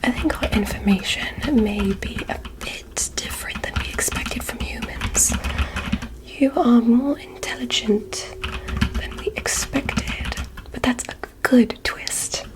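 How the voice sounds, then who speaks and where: A woman voicing a gentle, curious alien doctor in an ASMR medical roleplay, whispering soothing, softly formal instructions. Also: fairly high pitch, slow, rough recording. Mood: quietly fascinated. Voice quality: breathy whisper.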